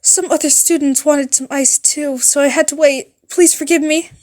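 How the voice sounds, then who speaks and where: Fanfic reading: woman as a nervous teen nurse girl, high-pitched, meek, forever apologising. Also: steady tone, normal speed, a little mellow, close to an everyday voice. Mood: anxious, apologetic. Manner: hesitant, meek, stammering apologies. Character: shy, anxious, apologetic teenage girl nurse.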